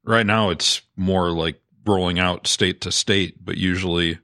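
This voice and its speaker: A man's voice: sits low, fairly even intonation, a little slow, bright, forceful tone, no echo, clean studio sound. A man co-hosting a podcast, presenting industry news in a casual discussion style.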